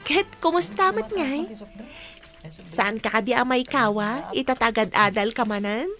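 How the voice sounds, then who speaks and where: A friendly young student played by a woman in a radio drama, with a very high voice. She talks in an encouraging way, like someone helping out her cousins and classmates. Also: animated, moderate pace, sharp tone. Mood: friendly, eager. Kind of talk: Ilocano radio drama acting.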